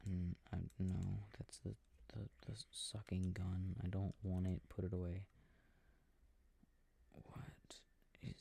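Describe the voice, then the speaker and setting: A man playing an affectionate, easily startled boyfriend in an intimate sleep-aid roleplay, rambling softly to himself about his game and often drifting into whispers. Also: deep voice, little pitch movement, slow pace, pauses often, exaggerated voice. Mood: absorbed, muttering.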